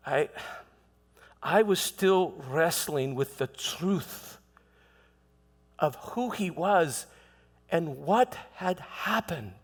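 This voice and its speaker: A man playing an aging, haunted Roman governor, delivering a reflective, dignified dramatic monologue in measured, halting phrases. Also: a little high, animated, heavily acted. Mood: pensive. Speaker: performer in a church dramatization. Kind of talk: dramatic first-person monologue. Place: large church hall. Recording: long hall reverb.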